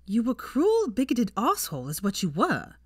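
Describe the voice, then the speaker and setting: A woman voicing a sharp-tongued, indignant teenage girl witch, scornful and sarcastic, in an audiobook story. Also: on the high side, wildly swooping pitch, normal speed, slightly rough, no room sound, clean studio sound, voice pushed up, exaggerated voice. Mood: disgusted, accusing. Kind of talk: fan fiction audiobook character dialogue.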